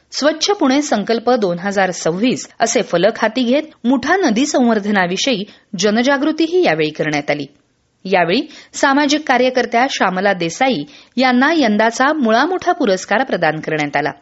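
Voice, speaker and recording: Lady reading radio news, formal, voice goes up and down a lot, slightly dull lo-fi sound. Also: a little high. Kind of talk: scripted regional news bulletin read-out.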